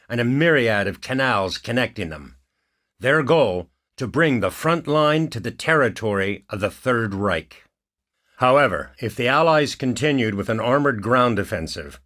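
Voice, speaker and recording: A man narrating a war game cutscene in a measured, formal, scripted documentary style. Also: mid-range voice, fairly bright tone.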